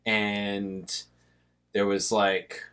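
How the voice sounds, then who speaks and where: Man, very deep voice, young adult, casual rambling chat, laughs a lot. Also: level tone, drawn-out words, fairly bright tone, clear, resonant tone. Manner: rambling, laughs often, trails off. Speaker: reaction video host.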